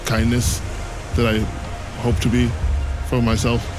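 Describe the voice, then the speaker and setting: Guy w mid pitch voice roleplaying at a game, gropes for words, sweary, music underneath. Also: completely flat delivery. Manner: halting, profane, searching for words. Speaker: player in a tabletop RPG. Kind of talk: casual improvised roleplay.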